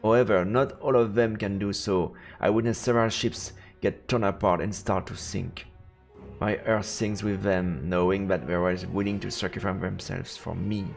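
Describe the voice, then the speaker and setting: A man's voice: lowish voice, steady tone, moderate pace, gravelly. A man narrating a fantasy visual novel aloud as a streamer, in a dramatic storybook style. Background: faint game music.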